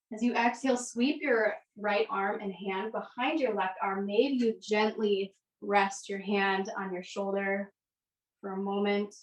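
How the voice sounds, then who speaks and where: Echoey, distant woman guiding yoga. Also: mid-range voice. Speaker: yoga instructor. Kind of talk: guided yoga and meditation class instruction. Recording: echoey room, distant microphone.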